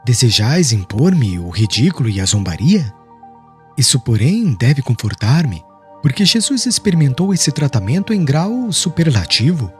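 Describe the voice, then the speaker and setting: A man's voice: medium pitch, very animated, normal speed, faint music behind, natural voice. A man voicing a serene, devout young preacher in an audiobook, eloquent and formal, declaiming with solemn firmness. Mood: firm, serene.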